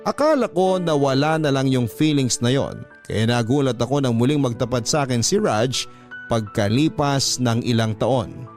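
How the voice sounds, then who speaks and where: A man's voice: mid-range voice, lively intonation, moderate pace. A man narrating a listener's love letter in the first person, in a confiding storytelling manner for a radio drama program. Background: faint music.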